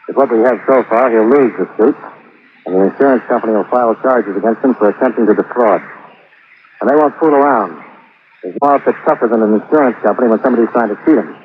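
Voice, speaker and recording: Casting: man acting shrewd, no-nonsense detective type, lowish pitch, vintage radio crime serial, narrow hissy sound. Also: close to an everyday voice. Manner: firm, plainspoken, hardboiled. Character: tough, plainspoken, shrewd male insurance investigator. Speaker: lead investigator and narrator. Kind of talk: 1950s hardboiled radio drama acting. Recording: noisy old radio recording, narrow band.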